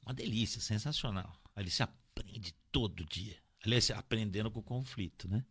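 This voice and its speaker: A man's voice: fairly low pitch, moderate pace, slightly rough, no room sound, studio quality. A middle-aged man with a São Paulo Brazilian accent telling his story in an interview, emphatic, repeating phrases and checking he is understood. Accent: São Paulo Brazilian Portuguese. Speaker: podcast guest, pharmaceutical sales representative. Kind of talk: conversational personal storytelling in an interview.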